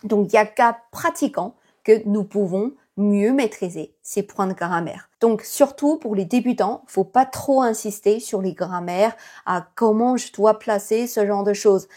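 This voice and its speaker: Breathy woman teacher. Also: mid-range voice, darkish tone. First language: Chinese. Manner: many fillers, thoughtful, encouraging. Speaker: Chinese teacher. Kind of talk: casual video lesson.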